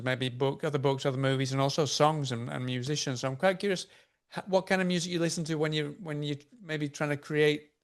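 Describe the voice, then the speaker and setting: Higher-voiced man asking rambling questions. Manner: rambling questions with repeated words, frequent backchannel agreement. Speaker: interviewer host. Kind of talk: casual interview questions.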